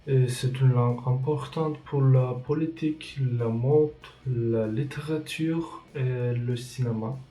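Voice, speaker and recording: A young man, a native Turkish speaker, carefully reciting a prepared answer as a language student. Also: medium pitch. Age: young adult. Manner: slow, careful learner's delivery. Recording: echoey room, from far off the mic. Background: soft background music.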